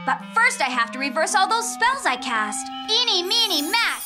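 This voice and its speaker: A woman's voice: very high-pitched, sing-song, normal speed. A woman voicing a headstrong, mischievous young girl witch with a defiant, bratty manner in a cartoon. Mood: scheming. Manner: defiant, bratty, chanting rhymes with relish. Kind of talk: animated cartoon acting, English dub. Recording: loud music behind the voice.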